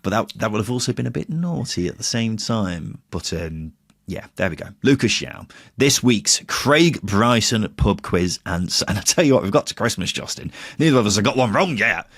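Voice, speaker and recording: A man's voice: lowish voice, lively intonation, normal speed, a bit bright, slightly rough, dry recording, clean studio sound. A man hosting a football podcast, chatting casually with playful, teasing banter. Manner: jokey, playful teasing, mild swearing. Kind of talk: casual banter, quiz chat.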